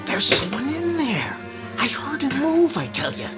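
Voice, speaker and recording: A man's voice: extremely high pitch, huge pitch swings, unhurried, over music, heavily acted. A man playing a desperate, menacing armed man in an old-time radio thriller, urgent and suspicious. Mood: tense.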